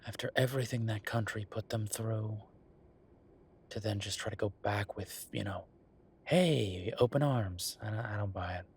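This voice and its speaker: A man's voice: lowish voice, moderate pace, a little hiss or hum, natural voice. A man playing a wry, affectionate werewolf bureaucrat in an intimate roleplay audio drama, talking in a casual, teasing, conversational way. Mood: skeptical, uneasy.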